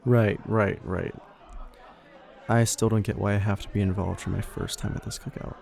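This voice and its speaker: Guy playing a laid-back but defensive young dude, low voice w lots of fry, bit hesitant, audio drama. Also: steady tone, moderate pace, darkish tone. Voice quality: low, creaky. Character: casual, defensive younger man, reluctant helper. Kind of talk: audio roleplay acting.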